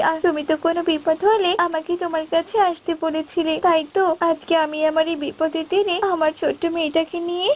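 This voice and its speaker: Woman voicing kind mother bird, cartoon fable, very high pitch, earnest, emotional, put-upon. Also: slight background noise. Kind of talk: animated folk tale voice acting.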